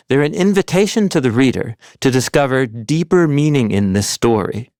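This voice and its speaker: Male educational narrator, medium pitch, teacherly but informal, scripted read, very dry studio sound. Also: no room sound. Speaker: narrator of an animated Bible overview. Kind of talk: scripted explanatory narration with conversational asides. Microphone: close studio voice-over mic, dry.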